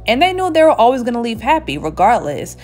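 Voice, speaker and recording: Chatty salon-owner woman, 30s, lively storyteller, faint music behind. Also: on the high side, fast talker. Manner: chatty, animated storytelling with frequent laughs. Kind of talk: casual storytime while getting a wig installed. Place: small indoor hair salon. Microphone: camera at chest height, seated facing it.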